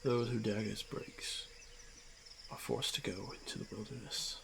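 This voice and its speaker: A man playing a stoic, honor-bound orc warrior who is gently protective, speaking in hushed, halting, formal phrases for a fantasy ASMR roleplay. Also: lowish voice, fairly even intonation, vocal fry. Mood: grave, determined. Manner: hushed, halting, formal speech with frequent pauses. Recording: noisy track with night insect ambience. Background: crickets and insect ambience.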